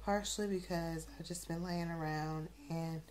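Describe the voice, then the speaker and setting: A woman in her twenties with a hoarse voice she is losing, chatting casually and rambling with lots of fillers as she vlogs to a phone camera at arm's length. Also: fairly low pitch, steady tone, moderate pace, darkish tone. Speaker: vlogger. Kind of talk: casual vlog chat. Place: parked car, then a bedroom.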